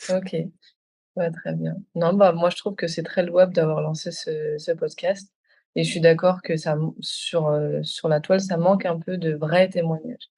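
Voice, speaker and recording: Female podcast guest, early 30s, gravelly creak in the voice, casual and conversational personal delivery. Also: deep voice, flat delivery, moderate pace, dark tone.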